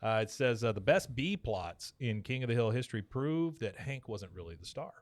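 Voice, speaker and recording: A man's voice: medium pitch, lively intonation, normal speed, no room sound. Man bantering casually, close and dry. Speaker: podcast co-host.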